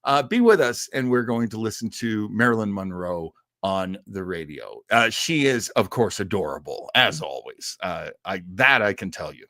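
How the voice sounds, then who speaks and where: A man hosting an old-time radio show livestream, chatting casually and enthusiastically in a rambling, halting way full of tangents. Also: medium pitch, a bit bright, no room sound, studio quality.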